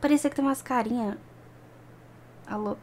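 A woman's voice: on the high side, moderate pace, a little mellow, slight background noise. A young woman with a Brazilian accent giving casual, playful, chatty commentary while playing a video game. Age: young adult. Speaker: gaming streamer. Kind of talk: casual let's play commentary. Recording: game sound clearly behind voice.

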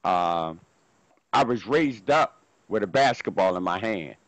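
A man's voice: on the low side, lively intonation, unhurried. A middle-aged man talks over a video call from a room at home, looping back over his own words. The sound is dry and close, with no room echo. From Philadelphia, USA. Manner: rambling, repeats himself. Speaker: guest musician. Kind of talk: casual interview. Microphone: video call with earphone headset.

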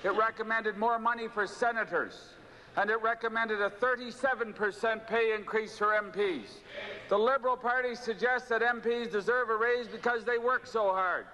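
A man's voice: high voice, bright, forceful tone. A middle-aged man giving a combative speech in a parliamentary chamber, read from his notes. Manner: combative, pointed. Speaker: member of parliament speaking in the House. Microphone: far desk microphone. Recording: echoing chamber, from a distance.

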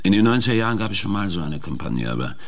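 A man's voice: sits low, sing-song, rapid-fire. An actor playing a curt, domineering male industry lobbyist in a radio play, brusque and condescending. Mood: dismissive.

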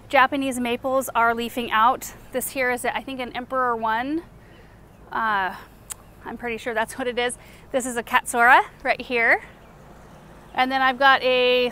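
A woman's voice: a little high, pushed and bright, a little hiss or hum. A woman in her thirties hosting a casual walking garden tour outdoors, chatty and enthusiastic as she points out plants. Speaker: garden show host.

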